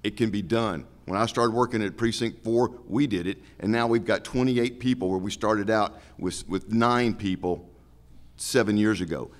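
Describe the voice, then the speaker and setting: A man's voice: on the low side, moderate pace. A man speaking as a law officer and candidate at a public forum, making his case in an emphatic, plain-spoken way.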